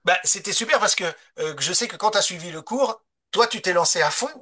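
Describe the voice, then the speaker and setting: Man, interviewer, somewhat high pitch, bright sharp tone, casual conversation, engaged, agreeing readily. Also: fairly quick, breathy edge. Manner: engaged, frequent short agreements.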